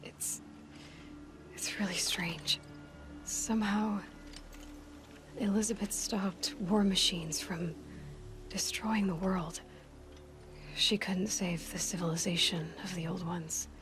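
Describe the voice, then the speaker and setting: Hushed, slow-speaking young huntress, music behind. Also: female voice, on the low side, steady tone. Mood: hushed, reflective. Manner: halting, hushed, speaking in short reflective phrases. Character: grieving, resolute young female outcast hunter. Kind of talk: naturalistic video game acting, intimate monologue. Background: game music.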